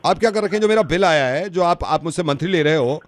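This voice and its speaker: A man's voice: a little high, lively intonation, fast, no room sound, studio quality, natural voice. A man playing an exasperated older customer on a comic prank call, complaining insistently and repeating himself. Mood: indignant. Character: irate older customer complaining about cheap internet. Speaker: radio prank caller.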